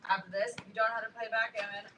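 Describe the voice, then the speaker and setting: A woman's voice: medium pitch, little pitch movement, racing. A playful, giggly woman talking casually and off the cuff. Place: indoor live venue. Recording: echoey noisy room, distant mic. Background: loud room noise.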